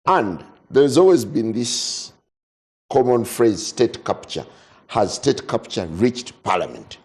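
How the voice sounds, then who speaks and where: A man's voice: mid-range voice, very animated, takes it slowly, a little mellow. A man interviewing a politician, asking short probing questions.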